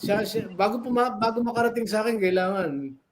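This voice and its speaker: An older man with a Filipino accent reminiscing casually and sentimentally in halting phrases on a video call. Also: high-pitched, a loud crowd. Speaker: guest, former radio personality. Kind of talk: casual reminiscing in a group chat. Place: indoors at home, on a video call. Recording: noisy video call with clicks.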